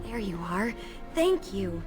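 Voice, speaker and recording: A woman's voice: mid-range voice, big pitch swings, slow pace, over music. A woman playing a grateful, earnest young elf bride in a fantasy video game.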